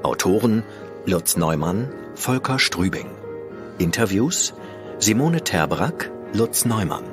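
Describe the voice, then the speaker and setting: A man's voice: fairly low pitch, sing-song, slow. A man reading scripted podcast credits as an announcer. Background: music.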